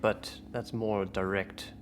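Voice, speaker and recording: A man's voice: on the low side, flat delivery, slow, soft background music. A man playing a tabletop roleplaying game in casual table chat with the group.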